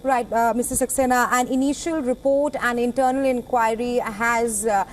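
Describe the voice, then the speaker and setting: A woman's voice: on the high side, steady tone, normal speed, a little hiss or hum. A woman anchoring live breaking news and interviewing a guest.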